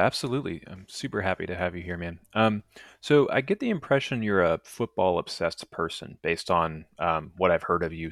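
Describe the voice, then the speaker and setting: A man's voice: low-pitched, no echo, studio quality. A man hosting a podcast interview, upbeat and friendly, with frequent ums and easy laughter. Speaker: podcast host.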